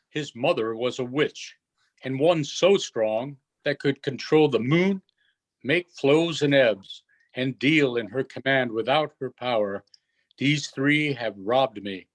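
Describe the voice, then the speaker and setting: A man playing a dignified sorcerer duke in a Shakespeare reading, measured and formal. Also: medium pitch. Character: commanding, dignified sorcerer duke reciting verse. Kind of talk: unrehearsed Shakespeare play reading.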